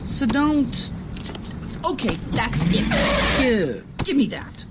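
A woman acting in an audio drama as a sharp, impatient, streetwise criminal who bosses her brother around. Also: sits high, big pitch swings, extremely slow, loud music behind the voice, voice pushed up, heavily acted. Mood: exasperated. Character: sharp, exasperated small-time crook, bossy sister.

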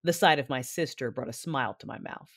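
A woman playing a brooding, commanding Sith who narrates her memories in a measured way for an audio drama. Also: very deep voice, expressive, gravelly, breathy edge, full character voice. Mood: measured, solemn. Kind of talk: first-person audio drama narration and dialogue.

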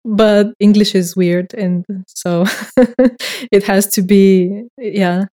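Bulgarian-accented woman, mellow and hesitant. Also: mid-range voice, steady tone, very slow. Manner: thoughtful, hesitant, laughs between phrases. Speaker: director of records and research. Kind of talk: casual podcast interview.

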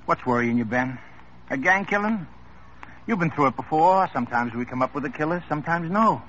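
An actor playing a blunt, cynical police detective who talks in a brisk, lecturing way in an old radio crime drama. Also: male voice, a little high, lively intonation, fairly bright tone, slight background noise. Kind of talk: 1950s radio drama acting. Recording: dull 1950s radio drama recording.

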